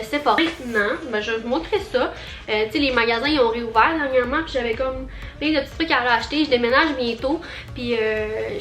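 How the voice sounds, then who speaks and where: A woman's voice: sits high, fairly bright tone, echoey room. A young woman with a Quebec French accent, chatting through a casual haul vlog, bubbly and rambling with lots of fillers. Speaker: vlogger.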